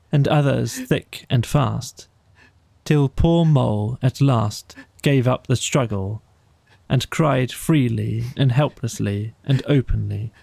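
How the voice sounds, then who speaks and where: A man narrating a cosy bedtime audiobook, reading softly in a measured storytelling manner. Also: mid-range voice, gravelly, no echo.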